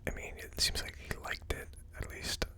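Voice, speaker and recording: A person whispering in a breathy, unvoiced ASMR style, casually murmuring through notes as the host of a tasting video. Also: sharp tone. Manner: slow, murmured word-by-word listing while typing notes.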